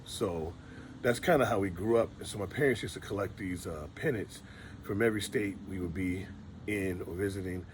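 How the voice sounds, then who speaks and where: A middle-aged man speaks in a very low, deep register. He talks in a relaxed, rambling vlog style, stopping to restart his sentences as he remembers. A faint ambient hum sits behind him. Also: moderate pace. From Detroit, USA. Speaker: vlogger. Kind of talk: casual storytelling monologue.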